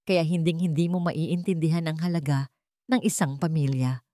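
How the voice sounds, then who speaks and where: A woman's voice: sits low, fairly even intonation, normal speed, dark tone, dry recording, clean studio sound, lightly acted. A woman voicing a proud, hurt young mother, fiercely protective and emotional, in a story drama. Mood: furious, defiant. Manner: defiant, emotional.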